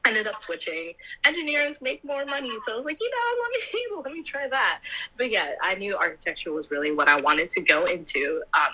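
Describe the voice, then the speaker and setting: A woman's voice: mid-range voice, big pitch swings, brisk, bright, forceful tone, rough recording. A young woman in her twenties, an upbeat pageant titleholder chatting and laughing easily as an interview guest. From United States. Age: 20s. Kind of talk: casual interview.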